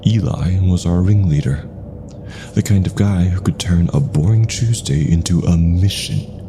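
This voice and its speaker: A man with a gravelly voice reading a scripted first-person horror story in a measured, brooding manner. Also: a bass voice, background noise.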